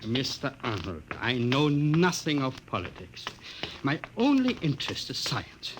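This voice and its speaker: A man's voice: mid-range voice, huge pitch swings, unhurried. An actor playing a kindly, absentminded older male scientist in a 1950s radio drama, rambling mildly. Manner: mild, rambling, chuckling. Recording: old radio recording with hiss.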